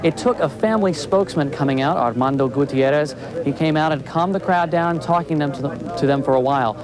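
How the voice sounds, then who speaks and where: A man's voice: fairly high pitch, fairly quick, poor audio. A man working as a television field reporter, delivering a live news report from outdoors. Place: outdoors on a city street. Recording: outdoor live shot with crowd noise. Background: crowd and street noise.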